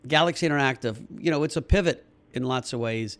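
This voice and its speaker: A man hosting a relaxed interview show, upbeat and laughing easily with his guests. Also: medium pitch, fast. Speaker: host. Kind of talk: casual interview.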